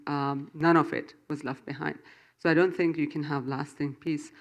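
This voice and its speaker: Gravelly, analytical male panelist. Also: on the high side. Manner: measured, analytical argument. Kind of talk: panel discussion.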